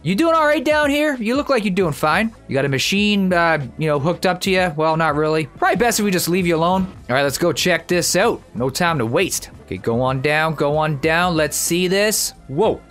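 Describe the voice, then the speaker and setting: A man with a high voice gives casual commentary as he plays a game. He chats directly to his audience in an informal way, with faint music behind him. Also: animated, normal speed, hardly any pauses, fairly bright tone. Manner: upbeat greetings, chatty, addresses viewers directly. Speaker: gaming video host.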